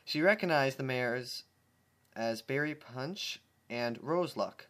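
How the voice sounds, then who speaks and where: A man's voice: mid-range voice, animated, slow, a bit bright. A man casually reading a fan story aloud and commenting on it with amusement, often stumbling over words. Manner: amused, stumbling, laughs at the text. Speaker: channel host reading fan fiction.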